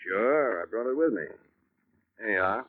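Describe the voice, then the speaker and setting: Blunt old-time radio racketeer, lo-fi. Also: male voice, on the low side, animated, moderate pace. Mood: matter-of-fact. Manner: blunt, slangy tough talk. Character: shady, blunt ex-convict racketeer, tough-talking man. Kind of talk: 1940s radio acting. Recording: band-limited old radio broadcast recording.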